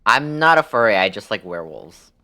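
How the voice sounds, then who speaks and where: A man streaming a game talks to his viewers in loose slang. His voice sits fairly low and cuts through brightly. He is crude and jokey, and tends to repeat himself as he chats. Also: very animated, fairly quick. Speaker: livestreamer playing a game. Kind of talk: casual chat with stream viewers.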